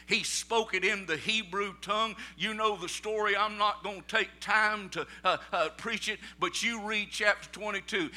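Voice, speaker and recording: A middle-aged man with a Southern American accent preaching a sermon, telling folksy stories in plain vernacular and building up to loud preaching peaks. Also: high voice. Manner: folksy storytelling, rising to shouted preaching peaks.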